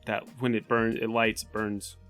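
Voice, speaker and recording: A man's voice: on the low side, level tone, a little slow, fairly bright tone, faint music behind. A man chatting casually and matter-of-factly as a player in a tabletop game.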